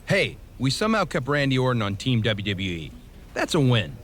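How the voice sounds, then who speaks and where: A man's voice: medium pitch, sing-song, normal speed, a bit bright, a little hiss or hum. A man playing an easygoing, earnest, slightly sheepish wrestler in casual video game cutscene dialogue.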